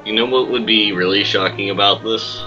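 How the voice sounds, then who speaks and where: A man's voice: lowish voice, fairly even intonation, a little fast. A young man making dry, sarcastic jokes in casual reaction commentary over a film, with lo-fi sound. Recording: dull lo-fi voice chat sound. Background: film music and narration.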